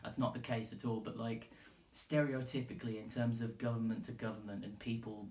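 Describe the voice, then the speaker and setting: A man's voice: mid-range voice, flat delivery, brisk, a little mellow. A man with an English accent talking casually and unscripted as a solo podcast host, rambling, informal and sweary. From England. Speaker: podcast host giving his opinion. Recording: roomy, echoey, distant from the mic.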